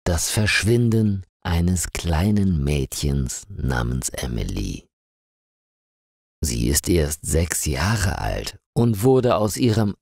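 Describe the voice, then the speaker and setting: Male storyteller for learners, deep voice, lively melody, slow and deliberate delivery. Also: vocal fry, dry recording, studio quality. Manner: slow, deliberate and expressive reading. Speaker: narrator. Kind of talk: scripted story narration for language learners.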